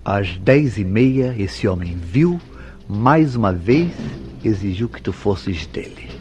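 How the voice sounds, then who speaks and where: A jealous, tormented lover from an old-fashioned radio soap opera. His voice is low, with formal, theatrical diction and weighty pauses, and prominent music plays behind it. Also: animated, darkish tone. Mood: defensive. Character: Mauro, jealous, tormented lover confessing a crime.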